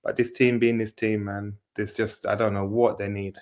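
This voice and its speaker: A man talking football as a guest fan in a casual debate, laid-back and resigned, low on energy. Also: flat delivery, moderate pace. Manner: laid-back, resigned, says bro a lot.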